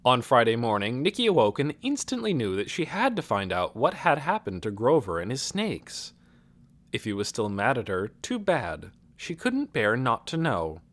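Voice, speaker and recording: Expressive audiobook narrator, lively pitch. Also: normal speed. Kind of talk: scripted literary narration.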